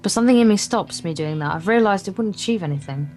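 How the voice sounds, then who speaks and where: A woman's voice: medium pitch, lively intonation, fast talker, darkish tone. A woman reading a visual novel aloud in an informal let's play, occasionally stumbling over the words. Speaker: guest co-player reading the narration aloud. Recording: guitar music behind the voice. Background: game's guitar music.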